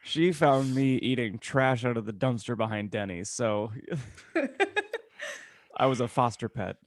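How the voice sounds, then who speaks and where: A man's voice: medium pitch, measured pace. A man co-hosting a podcast in casual, jokey, self-deprecating chat. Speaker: podcast co-host.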